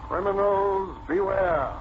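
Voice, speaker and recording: A stern masked avenger of the radio age, declaiming solemnly and very slowly. The old recording is heavily noisy and dulled, in full 1940s serial style. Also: male voice, high-pitched, narrow, tinny audio. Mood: solemn, menacing. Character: stern male masked crime-fighting vigilante swearing an oath.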